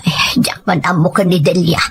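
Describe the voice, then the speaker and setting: Woman doing a worried-for-family old granny in a drama, plain-spoken, voice dropped and a bit rough. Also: lowish voice, fairly even intonation, moderate pace, voice pushed down. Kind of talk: dramatic Ilocano radio-style storytelling.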